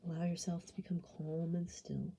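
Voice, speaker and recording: Female meditation guide: very mellow, lowish voice, soft and breathy, gentle soothing delivery that drifts into whispering. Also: completely flat delivery. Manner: gentle, unhurried, soothing instruction. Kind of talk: guided body scan meditation.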